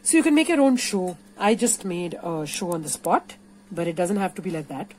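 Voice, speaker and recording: A woman's voice: lowish voice, lively intonation, moderate pace, a little mellow, a little hiss or hum, rough recording. An Indian-accented woman giving an informal, playful and encouraging step-by-step craft tutorial. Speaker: puppeteer teaching a craft workshop. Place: indoors at a craft table.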